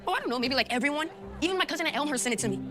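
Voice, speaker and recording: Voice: high voice, lively intonation, racing, over music. A performer playing a pushy, taunting teenage basketball teammate in a web drama, pressing and challenging. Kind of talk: scripted web drama acting.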